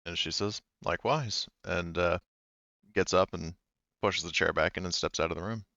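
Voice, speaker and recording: A man with a low, smooth, resonant voice narrates a tabletop game in an easy, conversational tone. The sound is dry and slightly muffled, like a home microphone on a voice call. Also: steady tone, normal speed, pushed and bright, no room sound. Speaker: game master.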